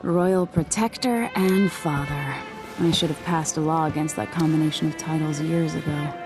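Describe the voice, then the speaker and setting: A young woman playing a doubtful, restless young empress in a video game, speaking in a measured, regal, introspective way. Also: sits low, fairly even intonation, over music, natural voice. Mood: weary, candid. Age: young adult. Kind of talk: dramatic game acting and narration.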